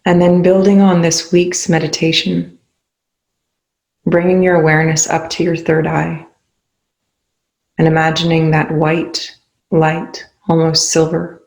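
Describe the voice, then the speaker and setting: A meditation guide leading a session in a soothing, unhurried manner with long pauses between phrases. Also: mid-range voice, level tone, gravelly, darkish tone, low-quality recording. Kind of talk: guided meditation, spoken slowly.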